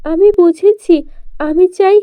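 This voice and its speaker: A woman's voice: very high voice, slow pace, mellow, no room sound, voice pushed up. A woman voicing a brave, kind-hearted young village girl in a children's cartoon fairy tale. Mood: earnest.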